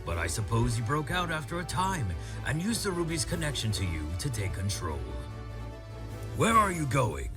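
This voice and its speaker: Evil-scientist boss played by a man for a comic dub: animated, medium-pitched, scheming and evasive, over background music. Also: normal speed. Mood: explaining, evasive. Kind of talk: fan comic dub acting.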